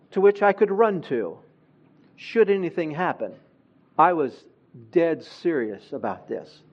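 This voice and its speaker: Slow, emphatic man preaching a sermon. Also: on the high side, dull audio. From Honduras. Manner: slow, emphatic preaching, swelling louder on key words. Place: church.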